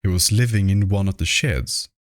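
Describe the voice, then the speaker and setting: A man reading classic horror fiction aloud as scripted narration, in a measured, deliberate manner, close to the microphone. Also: low-pitched, no echo. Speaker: audiobook reader.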